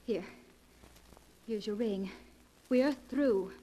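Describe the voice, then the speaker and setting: A woman's voice: medium pitch, very slow, warm tone, lo-fi sound, a little hiss or hum. An actress in an old radio play voicing a headstrong, defiant young woman. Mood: haughty. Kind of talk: 1940s radio acting.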